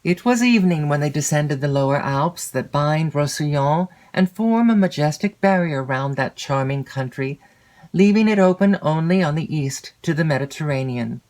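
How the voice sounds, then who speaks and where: Woman narrating a classic novel, measured delivery. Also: low voice, animated, darkish tone. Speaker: audiobook narrator.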